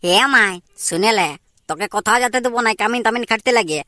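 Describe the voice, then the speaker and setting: A woman's voice: medium pitch, fast, pushed and bright, dry recording, clean studio sound, close to an everyday voice. A woman voicing an earnest, dutiful young village brother in a cartoon comedy, with a Purulia Bengali accent. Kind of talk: amateur cartoon comedy dialogue.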